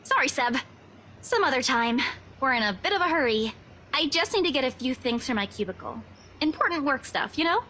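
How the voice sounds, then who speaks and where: A woman plays a young office worker in a visual novel game. Her high, bright voice bounces around in pitch. She is brisk and teasing, quick with a sarcastic, dismissive jab. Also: very animated, slight background noise, heavily acted. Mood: brisk, apologetic. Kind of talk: voice-acted visual novel dialogue.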